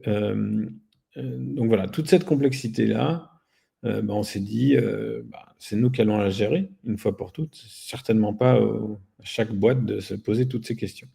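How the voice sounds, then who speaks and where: A man's voice: fairly low pitch, normal speed, vocal fry, warm tone, slightly rough. A man speaking as an interview guest, explaining things casually with a wry, self-deprecating manner. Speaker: guest, company founder.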